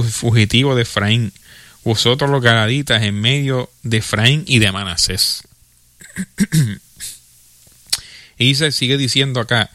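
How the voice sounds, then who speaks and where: A Puerto Rican preacher with a low, forceful, pressing voice delivers a sermon, reading scripture aloud and then explaining it. He fills every pause with "hallelujah" and "glory to God" and chuckles as he goes. The recording is close and dry. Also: dry recording. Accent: Puerto Rican Spanish. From Puerto Rico.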